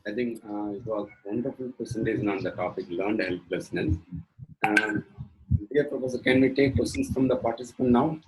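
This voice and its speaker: Guy moderating an online talk, polite, halting, a bit of hiss behind. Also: on the low side, rough recording. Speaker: webinar moderator. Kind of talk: hosting a webinar Q&A. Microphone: video call.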